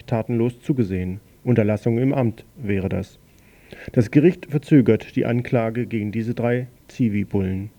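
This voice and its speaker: A man reading a news bulletin on a local radio station in a plain, slightly halting way, correcting himself now and then. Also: mid-range voice, vocal fry, mellow, dull audio.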